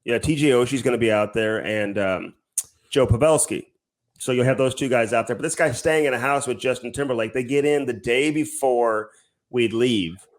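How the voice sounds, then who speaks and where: A man's voice: mid-range voice, moderate pace. A man speaking as a podcast co-host in an ordinary mid-range voice. His talk is loose, slangy and bantering, like chatting with buddies. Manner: slangy, says "dude" and "man" often. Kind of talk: casual bantering podcast chat.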